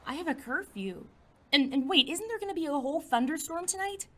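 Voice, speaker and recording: An actress in an audio drama playing a skeptical, cautious high school girl who argues back with dry sarcasm. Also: expressive, fairly bright tone. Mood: reluctant, skeptical. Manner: dry, incredulous, quick to argue.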